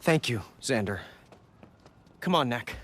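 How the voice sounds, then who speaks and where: Eager, husky young adventurer. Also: male voice, mid-range voice, animated, slow pace, a bit bright. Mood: brisk. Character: Lucas, earnest eager young adventurer, male. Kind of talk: video game cutscene voice acting.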